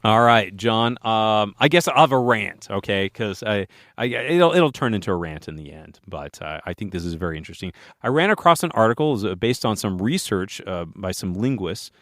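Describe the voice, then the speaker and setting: A man's voice: on the low side, expressive, brisk, fairly bright tone, dry recording, clean studio sound. A man co-hosting a podcast, chatting casually and rambling with many fillers and restarts. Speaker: podcast co-host.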